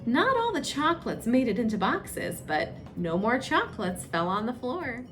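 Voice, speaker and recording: Female children's story time reader: fairly high pitch, highly melodic expressive delivery, music underneath. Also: normal speed. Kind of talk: read-aloud children's storybook narration.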